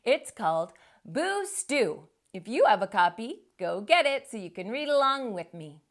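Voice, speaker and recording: A middle-aged woman reading a children's picture book aloud with expressive storytelling. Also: a little high, a little slow, faint music behind. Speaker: children's book reader, channel host.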